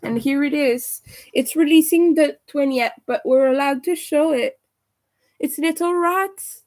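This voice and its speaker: A young woman with a raspy, hoarse voice, chatting casually with viewers as she crochets on a livestream. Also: high-pitched, measured pace, darkish tone. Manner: chatty, talks to viewers while working. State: hoarse from streaming a lot. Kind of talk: casual chat while crocheting live.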